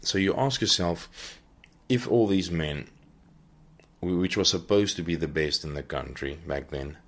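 A man hosting a podcast, giving an unscripted Bible teaching talk and often pausing to search for his words. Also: sits low, a bit bright. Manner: earnest, searching for words as he goes.

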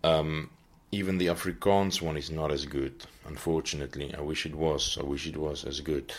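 A man hosting a podcast, giving an unscripted Bible teaching talk and often pausing to search for his words. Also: rumbling low, steady tone. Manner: earnest, searching for words as he goes.

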